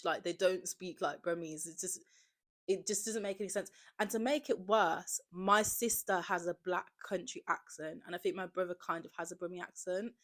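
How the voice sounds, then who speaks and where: A woman, talking candidly in a casual confessional monologue, often saying "like". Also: on the low side. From Birmingham area, England. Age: 20s. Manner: candid, conversational, heavy use of "like". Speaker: podcast host.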